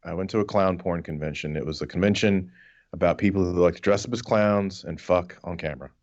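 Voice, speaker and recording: A man's voice: low-pitched, brisk, darkish tone, muffled recording. A man co-hosting a podcast in casual comedic chat, explaining absurd things in a mock-earnest, matter-of-fact way. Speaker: podcast co-host.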